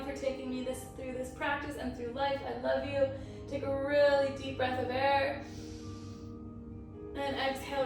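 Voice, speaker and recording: A woman yoga instructor leading an online class in a gentle, encouraging, instructional way. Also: on the high side. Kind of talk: guided vinyasa yoga class. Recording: very echoey, distant, music playing. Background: music.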